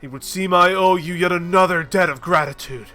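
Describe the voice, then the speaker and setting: A man's voice: sits high, a little fast, bright, forceful tone. Man doing a formal, grand engineer boss. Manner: archaic, formal phrasing.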